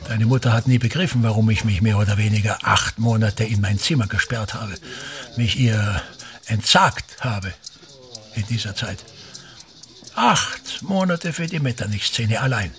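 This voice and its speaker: A man's voice: fairly low pitch, normal speed, a bit bright. An actor playing a pompous, self-important older theatre man and tyrannical father, declaiming disdainfully and repeating his phrases in a radio drama. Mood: grandiose.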